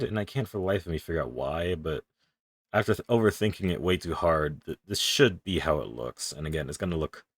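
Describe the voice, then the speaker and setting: A young man with a deep voice, casually rambling and swearing as he talks through his drawing on a stream. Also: no room sound. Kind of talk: casual commentary while drawing.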